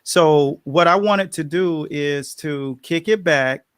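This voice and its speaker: Man, interview host, emphatic, fairly high voice, dry sound. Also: slow, smooth, no room sound. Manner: emphatic, animated, frequent affirmations. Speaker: show host. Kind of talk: interview hosting.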